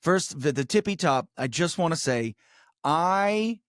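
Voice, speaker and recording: Fairly high male voice, dry close sound, effusive, rambling, profane, casual podcast guest. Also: animated, unhurried, no echo. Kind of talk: casual chat.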